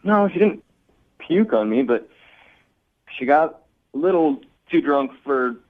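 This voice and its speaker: Blunt, exasperated man, lively higher-pitched voice. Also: takes it slowly, narrow, tinny audio. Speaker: radio show phone guest. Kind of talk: candid phone conversation on a radio show.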